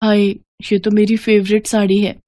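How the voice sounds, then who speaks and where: A woman's voice: medium pitch, level tone, normal speed, warm tone, no echo, natural voice. A woman voicing a naive, sweet young new bride, polite and earnest, in a cartoon story. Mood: dismayed. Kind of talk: Hindi cartoon story voice acting.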